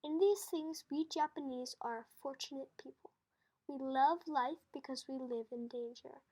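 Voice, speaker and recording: Woman reading as a high-voiced, calm, wise farmer father. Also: a little slow. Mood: earnest, calm.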